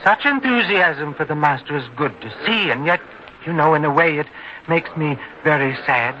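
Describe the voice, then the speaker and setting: Earnest biblical storyteller, forceful bright voice. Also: male voice, a little high, animated, moderate pace. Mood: earnest, troubled. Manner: solemn, earnest storytelling delivery. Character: Abner, earnest devout male neighbour in biblical times. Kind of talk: old-time radio drama acting. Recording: lo-fi old-time radio sound, faint noise.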